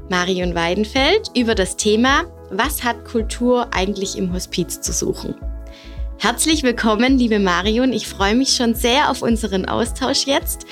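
A woman hosting a podcast, introducing and interviewing a guest in conversation. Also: fairly high pitch.

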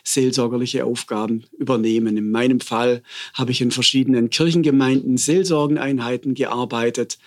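A man, speaking in a medium pitch. He answers interview questions as a hospital chaplain, thoughtful and unhurried, often pausing and hesitating to find his words. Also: fairly even intonation. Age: 50s.

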